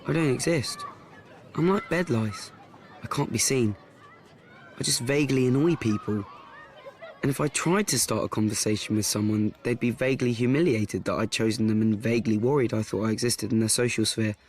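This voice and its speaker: A wry teenage boy, played by an actor, with a medium-pitched male voice. He narrates his own life in a radio play, frank and awkward, in a deadpan, confiding, self-deprecating way. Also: moderate pace, some chatter behind, lightly acted.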